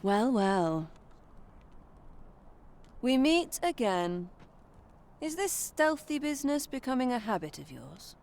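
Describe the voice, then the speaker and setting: A woman's voice: a little high, sing-song, darkish tone, exaggerated voice. An actress playing a poised, secretive noblewoman in a video game, with coy, haughty, formal speech. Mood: sly amusement. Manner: coy, haughty, measured, archaic formal phrasing. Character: poised, sly, teasing noblewoman, secretive and imperious. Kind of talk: video game cutscene acting.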